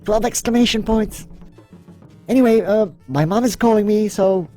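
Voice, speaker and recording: A man voicing a cheeky, excitable young boy in an amateur cartoon-style comic dub. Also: high-pitched, normal speed, faint music behind, pitched above the performer's own voice, lightly acted. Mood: cheeky, casual.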